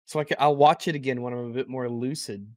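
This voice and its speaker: A man's voice: medium pitch, fairly even intonation, fast talker. A man talking casually and informally in a group chat.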